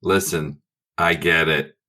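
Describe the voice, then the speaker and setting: A man's voice: rumbling low, steady tone, takes it slowly, pushed and bright. A man improvising conversation as a player in a tabletop game.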